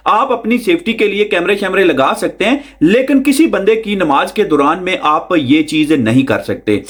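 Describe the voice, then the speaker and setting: Male vlog host, notably high voice, conversational explainer delivery, emphatic repetition, speaks directly to viewers. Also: expressive, normal speed, a bit bright. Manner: explanatory, repeats phrases for emphasis, addresses listener directly. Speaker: video host.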